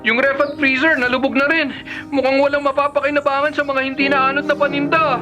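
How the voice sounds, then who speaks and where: An actor playing an earnest, troubled younger brother in a Filipino radio drama. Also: male voice, very high voice, little pitch movement, a little fast. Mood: troubled, weary. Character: earnest younger brother reporting flood disaster. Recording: music behind the dialogue.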